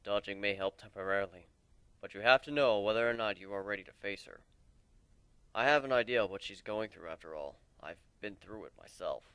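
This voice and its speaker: A man voicing a brooding, world-weary male warrior who speaks gravely and with measure in a story reading. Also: fairly low pitch, a little fast, fairly bright tone, no room sound, voice pushed down.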